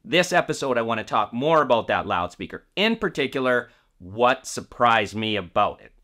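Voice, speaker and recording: A man hosting a review to camera, speaking in deliberate phrases with emphatic stresses on key words. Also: medium pitch, sing-song, pushed and bright. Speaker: audio reviewer and video host. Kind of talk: review talk to camera, semi-scripted.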